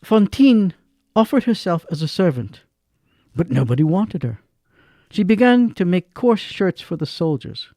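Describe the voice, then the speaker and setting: A man narrating a radio drama in a dramatic, expressive way, swinging between hushed lines and stressed ones. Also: sits high, no echo, clean studio sound. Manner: slow and expressive, swings between hush and emphasis.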